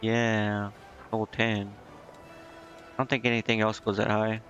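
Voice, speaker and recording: A man's voice: on the low side, level tone, a little slow, a bit bright, dull audio. A man doing casual video game commentary as a streamer, thinking aloud in a rambling, hesitant way. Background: game music.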